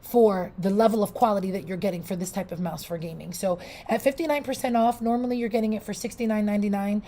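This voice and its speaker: A woman's voice: medium pitch, fast, few pauses, mellow. A woman with a Puerto Rican accent, casually reviewing a product live, chatty and loose, with restarts and asides to the viewers. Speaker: product reviewer on a shopping livestream.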